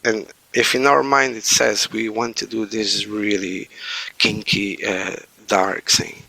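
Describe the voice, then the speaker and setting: A man's voice: fairly low pitch, level tone, slow, creaky, sharp tone, no echo, poor audio. A man, a podcast guest speaking hesitantly with many fillers and restarts. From Portugal. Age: 40s. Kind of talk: casual interview talk.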